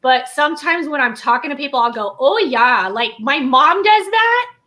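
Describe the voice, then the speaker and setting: A woman co-hosting a casual chat, telling lively stories and acting out what people said to her. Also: sits high, moderate pace. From Southern United States. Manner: animated storyteller, acts out quotes, laughs often. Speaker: co-host.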